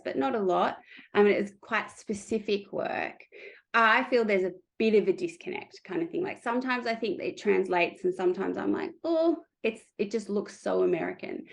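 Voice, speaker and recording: A woman's voice: mid-range voice, gravelly. A woman hosting a casual design podcast, asking a fellow designer questions and affirming often with "yeah" and "um.". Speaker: podcast host and interior designer.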